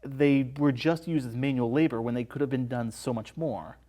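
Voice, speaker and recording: A man's voice: medium pitch, normal speed, mellow. A man appearing as a guest on a film review show, giving his thoughts in casual discussion.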